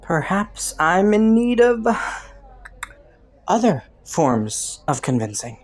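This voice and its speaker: A woman's voice: fairly low pitch, sing-song, takes it slowly, full character voice. A woman playing a flirty, taunting underworld criminal in a roleplay audio, with a playful, teasing drawl. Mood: flirty, sly. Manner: playful, teasing drawl with frequent soft chuckles.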